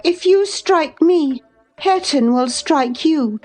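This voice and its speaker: Reckless young lady played by a female narrator, pitched high, pert and defiant, classic novel audiobook. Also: expressive, slow pace, a little mellow, faint music behind. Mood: taunting. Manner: pert, taunting, high-strung. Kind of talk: dramatized audiobook reading.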